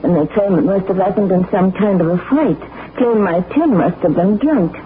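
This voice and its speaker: An actress playing a distraught working-class widow in a 1940s radio drama, pleading and deferential. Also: female voice, medium pitch, moderate pace, slight background noise, lightly acted. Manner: pleading, deferential, says sir a lot. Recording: tinny 1940s radio broadcast.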